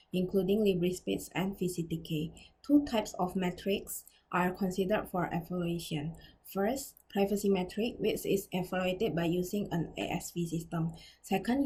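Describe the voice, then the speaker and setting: A woman giving a scripted academic presentation as a researcher, reading formally and carefully with slightly halting phrasing. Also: fairly low pitch, dark tone, slightly rough, rough recording.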